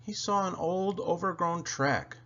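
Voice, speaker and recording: A man reading a children's story aloud with expressive, animated storytelling. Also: high voice, unhurried, muffled recording. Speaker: storybook reader.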